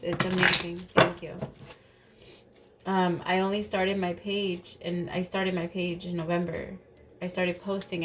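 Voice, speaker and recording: A woman's voice: on the low side, very noisy. Stuffy-nosed woman rambling through a tarot reading. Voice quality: nasal, stuffy. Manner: rambling, chatty, grateful, swears casually. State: stuffy from allergies. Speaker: tarot reader.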